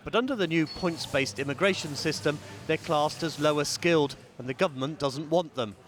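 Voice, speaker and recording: A man reporting as a political correspondent, with a measured, clear delivery. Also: a little high, a little hiss or hum. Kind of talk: news report voice-over and interviewing.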